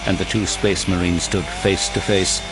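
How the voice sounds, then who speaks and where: A man's voice: sits low, level tone, normal speed, heavy background noise. A man giving dramatic scripted narration for an audio drama.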